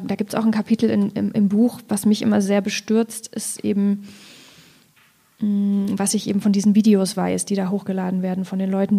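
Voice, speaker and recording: A woman's voice: medium pitch, creaky, mellow. A woman from Thuringia, a writer, reflecting tentatively on her work in a literary discussion and searching for her words. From Thuringia, Germany. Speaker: poet and writer.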